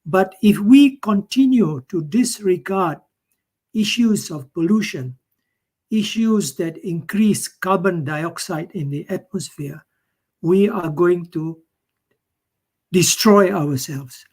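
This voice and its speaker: High-voiced Malaysian professor, a man lecturing. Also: a little mellow. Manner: repeats phrases for emphasis, simple direct wording. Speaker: professor, keynote speaker. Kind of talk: informal conference lecture.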